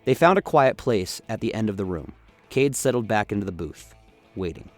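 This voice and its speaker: A man narrates a dramatic tale from a script. His voice sits on the low side, and faint music plays behind him. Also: expressive, normal speed, a little mellow.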